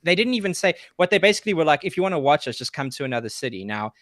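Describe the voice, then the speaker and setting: A man's voice: a little high, big pitch swings, rapid-fire, fairly bright tone, no room sound, clean studio sound. A man from the UK chatting on a podcast, blunt and swearing as he vents. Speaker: podcast co-host. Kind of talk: casual chat.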